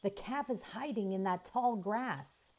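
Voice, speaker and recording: A woman's voice: medium pitch, unhurried, darkish tone, voice pushed up, lightly acted. A woman voicing a bossy male wolf pack leader who gives curt commands. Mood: commanding.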